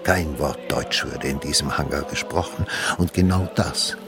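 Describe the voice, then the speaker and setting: An actor playing a coolly calculating male security consultant with a measured, persuasive manner in a radio drama. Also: rumbling low, vocal fry, music in the background. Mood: cool, visionary. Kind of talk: radio drama narration and dialogue.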